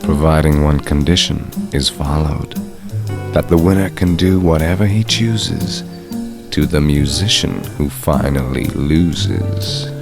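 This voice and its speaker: Apollo, a slow, smug god. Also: male voice, extremely low pitch, music in the background, exaggerated voice. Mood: cool, menacing. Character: vain, offended male god of music, coolly superior. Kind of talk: comic radio drama acting.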